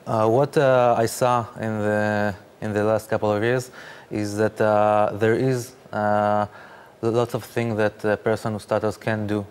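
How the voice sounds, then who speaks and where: A man, speaking haltingly with many fillers as a guest in a studio interview. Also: lowish voice, flat delivery, smooth. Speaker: interview guest, startup founder.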